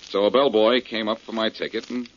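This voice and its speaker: An actor playing a confident, wry detective with an assertive manner, in 1940s radio drama style. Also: male voice, on the low side, steady tone, a little fast, close to an everyday voice. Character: confident, wry male amateur detective hero. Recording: dull old radio recording.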